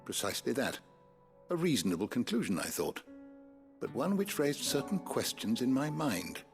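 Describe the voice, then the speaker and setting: An actor playing a courteous, scholarly older gentleman in a game, with a British accent and formal, deliberate phrasing. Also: medium pitch, very animated. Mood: pensive, courteous. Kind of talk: video game cutscene acting. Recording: soft music behind the dialogue.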